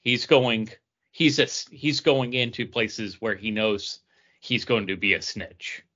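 A man co-hosting a podcast in casual conversation, talking haltingly and repeating himself as he makes his point. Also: on the low side, pushed and bright, muffled recording. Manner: halting, repeating and restarting phrases. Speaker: podcast co-host reviewing comic books. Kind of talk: casual chat.

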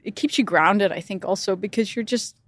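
Danish-raised woman, relaxed talk. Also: mid-range voice, brisk. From Denmark. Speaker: guest. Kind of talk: casual interview conversation.

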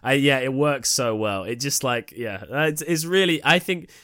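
A man's voice: mid-range voice, normal speed, pushed and bright, dry recording, clean studio sound. A man co-hosting a casual podcast, thinking aloud enthusiastically as he talks. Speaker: podcast co-host. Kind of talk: casual chat.

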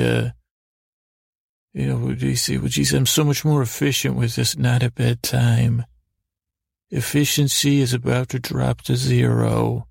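Gravelly man rambling through a bedtime podcast. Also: mid-range voice, no room sound, studio quality. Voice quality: creaky, gravelly. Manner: meandering, halting, whimsical asides with self-corrections. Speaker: podcast host. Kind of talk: rambling bedtime monologue to help listeners sleep.

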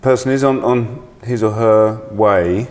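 A man hosting a reflective conversation, speaking haltingly in short phrases with pauses. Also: lowish voice, fairly even intonation, darkish tone, long reverb tail. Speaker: podcast host. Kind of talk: gentle reflective interview conversation.

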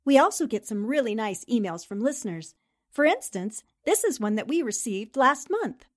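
A woman from a radio cast reads a prepared announcement. Her voice is fairly high. She addresses the listeners directly. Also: very animated, normal speed. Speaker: radio show cast member. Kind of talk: scripted thank-you announcement.

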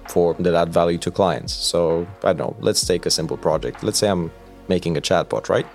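A young man from Romania, a software engineer, explaining his work in a relaxed, conversational way with a few fillers. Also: deep voice. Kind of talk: recruitment video interview. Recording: soft music bed behind.

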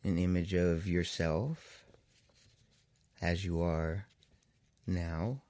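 A man leading a guided meditation as a therapist, in a soothing manner with long pauses between phrases. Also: deep voice, steady tone, a little mellow, no room sound.

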